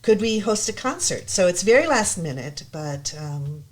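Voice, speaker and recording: Low-voiced woman, hesitant and eager. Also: very animated, moderate pace. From United States. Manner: hesitant, many ums, enthusiastic. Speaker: guest. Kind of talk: casual chat.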